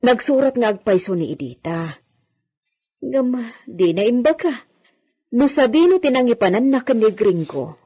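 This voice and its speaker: An actress playing a devoted, worried woman servant in an Ilocano radio drama, with a husky voice. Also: on the high side, very animated, moderate pace, long pauses, warm tone. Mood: worried, musing.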